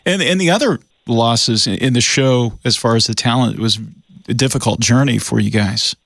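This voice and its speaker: A man's voice: mid-range voice, normal speed, creaky, fairly bright tone, no room sound. A man in his forties hosting a radio interview in a conversational way. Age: 40s. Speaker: radio show host.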